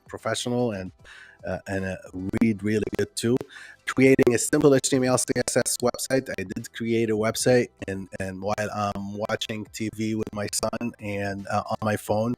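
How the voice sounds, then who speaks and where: A man's voice: lowish voice, no echo. A man whose first language is not English presents a live online workshop in a loose, conversational way, with many ums and restarts, and reads out viewer comments.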